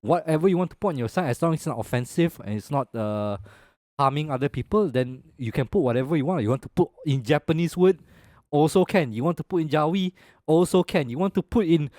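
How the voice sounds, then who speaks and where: A young man with a Malaysian English accent co-hosting a casual podcast, talking through his opinions in a relaxed way and ending phrases with "lah". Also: on the high side, fairly quick, no echo, studio quality. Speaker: podcast co-host.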